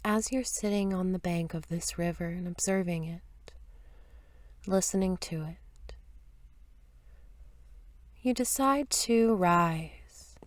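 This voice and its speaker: A woman leading a guided meditation in a soft, gentle, soothing voice, with unhurried phrases and long pauses, at times breathy. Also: fairly low pitch, no room sound. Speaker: meditation teacher.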